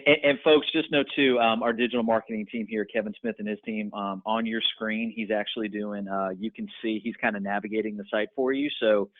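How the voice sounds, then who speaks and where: A man with a fairly low voice hosts a business call. He talks informally and encouragingly, and the audio has the narrow, tinny sound of a phone line. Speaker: host of agent conference call.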